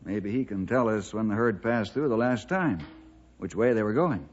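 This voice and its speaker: A male actor playing a calm, inquisitive Western ranger with an American accent and a husky voice in a 1960s radio drama. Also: lowish voice, normal speed, faint music behind. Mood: curious. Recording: dull vintage radio recording.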